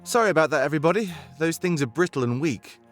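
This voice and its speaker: A man's voice: fairly high pitch, lively intonation, fast talker, faint music behind, natural voice. A man voicing an earnest rebel guide in a visual novel game, conscience-stricken but with a playful streak, talking in a musing, conversational way. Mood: explanatory, rueful.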